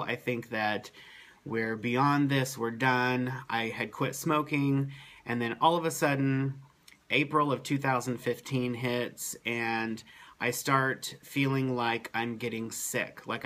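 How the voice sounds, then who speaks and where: A man speaking at an everyday middle pitch, recounting his health update in a plain, matter-of-fact way. He talks casually to the camera, pausing often with ums and uhs. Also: a bit bright. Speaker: vlogger giving a health update. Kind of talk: casual first-person account.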